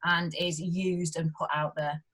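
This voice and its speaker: A young woman being interviewed as a guest researcher, talking animatedly, laughing often and acting out what others say. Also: deep voice. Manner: animated, quick to laugh, acts out quotes. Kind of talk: casual interview chat.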